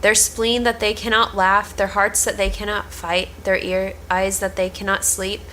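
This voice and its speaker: A young woman plays a playful, mocking page boy. The pitch is middling for a woman, with faint noise behind an amateur reading of an old stage comedy. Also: flat delivery, normal speed, sharp tone, natural voice. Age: young adult. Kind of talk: cold read of Elizabethan stage comedy.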